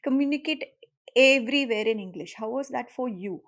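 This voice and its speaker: A woman's voice: fairly high pitch, fairly quick. A middle-aged woman with an Indian accent, co-hosting a podcast and talking earnestly and reflectively with her daughter. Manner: reflective, earnest, laughs easily. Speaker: podcast co-host, mother. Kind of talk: casual conversation.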